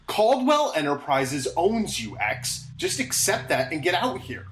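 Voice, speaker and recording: A woman's voice: low voice, huge pitch swings, unhurried, exaggerated voice. A woman playing a stern, unyielding corporate security officer in a science fiction audio drama, curt and commanding. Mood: hard, insistent. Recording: noisy, echoing room sound.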